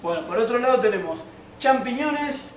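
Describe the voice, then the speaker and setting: A man's voice: extremely high pitch, animated, normal speed. A young man with an Argentine accent, presenting a cooking show off the cuff as its host. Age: young adult. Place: winery kitchen and open-air patio. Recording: echoey, distant mic, noisy ambience.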